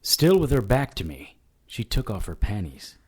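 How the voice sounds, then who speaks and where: An actor playing a wisecracking, defiant noir investigator in an audio drama, dry and sardonic in dialogue and narration. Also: male voice, fairly low pitch, big pitch swings, moderate pace, darkish tone, breathy edge. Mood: wry.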